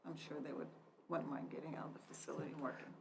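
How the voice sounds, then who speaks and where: A woman who oversees inmates, answering at a public meeting in a soft-spoken way that trails off. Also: sits low, fairly even intonation, rapid-fire, creaky, slightly rough. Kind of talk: casual reply at a public meeting. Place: county commission meeting room.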